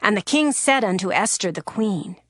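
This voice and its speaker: A woman narrating scripture in a steady, formal reading style. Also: medium pitch, normal speed, no echo, studio quality.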